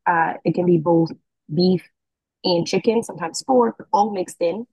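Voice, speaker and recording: A young woman from the Dominican Republic, answering interview questions in a relaxed way, with many fillers and restarts. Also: fairly low pitch, measured pace, breathy edge, no echo, low-quality recording. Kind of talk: casual conversational interview.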